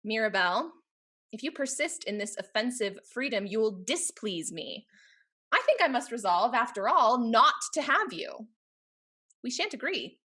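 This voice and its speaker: Woman playing a flighty teasing young heiress in an old stage comedy, high bright voice, super haughty. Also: big pitch swings, moderate pace, husky, full character voice. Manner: haughty, playful, theatrical. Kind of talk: Restoration stage comedy acting.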